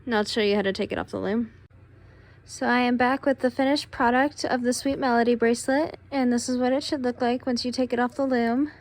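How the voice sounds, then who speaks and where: A young woman with a tired, raspy voice, casually talking through a craft tutorial step by step while she works. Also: on the high side, a little fast. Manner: relaxed, talks while working with hands.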